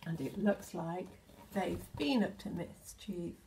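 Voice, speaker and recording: Storyteller, British woman in her 60s, creaky voice, expressive read-aloud for young children, faint noise behind. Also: lowish voice, slow. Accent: British English. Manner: expressive, addressing children with gentle questions. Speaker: storyteller, infant school teacher. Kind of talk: children's picture book read-aloud with asides. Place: indoors in a home hallway.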